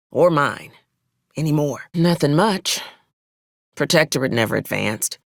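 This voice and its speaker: Game casting: haughty, bloodthirsty female frontier officer, folksy ornate phrasing, swooping pitch, dry close recording. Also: dry recording. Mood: scornful, curt, then resigned, dry. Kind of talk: video game character dialogue.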